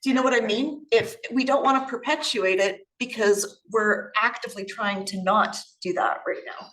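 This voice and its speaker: A woman's voice: on the high side, moderate pace, a bit bright, far from the mic, poor audio. A woman from Canada in a group discussion, speaking earnestly and checking in with "you know what I mean?".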